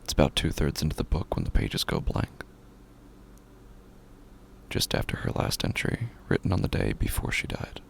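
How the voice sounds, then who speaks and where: Actor as gravelly, low-voiced haunted grieving widower. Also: male voice, big pitch swings, a little hiss or hum, exaggerated voice. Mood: hushed grief. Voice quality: gravelly, breathy, often dropping to a whisper. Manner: halting, brooding, with long pauses. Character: grieving, haunted widower slowly unraveling into madness. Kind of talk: first-person horror audio drama monologue.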